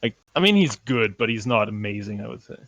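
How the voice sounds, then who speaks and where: A man's voice: lowish voice, lively intonation, brisk, fairly bright tone, dry recording. Man chatting on a film podcast, dry sound. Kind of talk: casual chat reviewing films.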